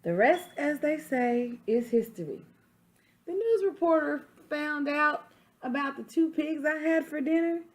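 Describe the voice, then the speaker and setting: A woman's voice: high-pitched, animated, voice pushed up. A woman voicing an aggrieved male wolf who tells his side of a children's story in a folksy, self-justifying, emphatic way. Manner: dramatic, emphatic, with drawn-out stressed words. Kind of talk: storybook read-aloud for children.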